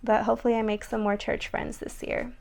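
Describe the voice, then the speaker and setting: Casting: young female vlogger, raspy, gravelly, slightly high voice, loose chatty delivery. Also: flat delivery, fairly quick, darkish tone. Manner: chatty, many likes and ums. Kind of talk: casual vlog talk to camera.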